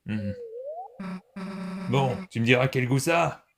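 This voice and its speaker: A man voicing a brash, tough teenage monster girl with a soft side in an amateur video game comic dub, gruff and offhand. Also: mid-range voice, slow, over music.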